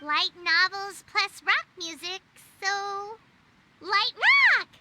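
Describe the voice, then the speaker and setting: A woman voicing a small, excitable, childlike fairy companion in a video game, squeaky and exclamatory. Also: slow pace, very noisy. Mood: chirpy. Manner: refers to self in third person, exclamatory.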